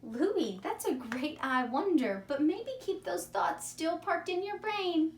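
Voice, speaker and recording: A woman's voice: very high-pitched, lively intonation, takes it slowly, a little mellow. A woman teacher reading a story aloud to young children, using simple wording and putting questions to her listeners. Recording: echoey room, distant mic, faint noise.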